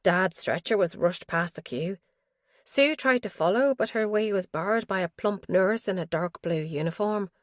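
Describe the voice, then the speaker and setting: A woman narrating an audiobook thriller in a steady, measured way. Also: mid-range voice, no echo, studio quality. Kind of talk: scripted narration.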